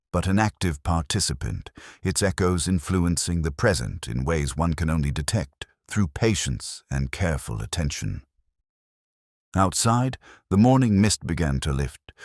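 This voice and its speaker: A man playing a reflective, observant detective inspector, narrating his mystery in a measured, solemn, literary manner. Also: low voice, dry recording, clean studio sound, heavily acted. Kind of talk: scripted first-person mystery narration.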